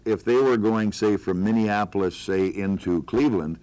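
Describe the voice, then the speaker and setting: A man's voice: fairly low pitch, normal speed, darkish tone. A man, a veteran radio staffer, telling emphatic stories from his career in a panel conversation.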